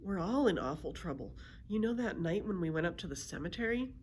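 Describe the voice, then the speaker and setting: A woman reader acts out a timid young boy from a children's storybook. He sounds earnest and urgent, with lively swings in pitch. Faint noise sits behind the voice. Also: brisk, close to an everyday voice. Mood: anxious pleading.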